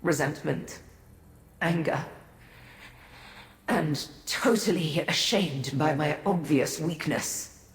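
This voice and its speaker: A woman's voice: low-pitched, slow, long pauses. A woman playing a proud, bitter Daedric prince in a fantasy video game, speaking solemnly and formally with an imperious manner. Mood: despairing. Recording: roomy echo with long reverb, music behind.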